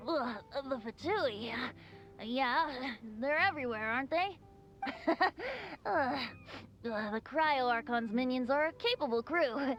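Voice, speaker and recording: A woman's voice: high voice, lively intonation, slow pace, a bit bright, heavily acted. A woman playing a carefree, playful young male bard in a video game, light and boyish, with a breezy, evasive manner. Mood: casual. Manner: breezy, hesitant, sighing and chuckling between phrases. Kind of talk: animated video game acting. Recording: game music behind voice.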